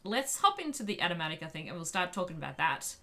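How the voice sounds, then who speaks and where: Bright-voiced woman, slightly low. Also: big pitch swings, quick pace. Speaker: podcast co-host. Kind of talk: casual fan discussion.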